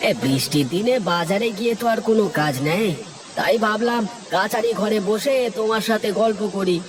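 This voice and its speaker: A man voicing a lazy, grumbling village husband in an animated fairy tale, with a whining, exclamatory manner. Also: sits high, fairly quick, noisy background, close to an everyday voice. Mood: chatty.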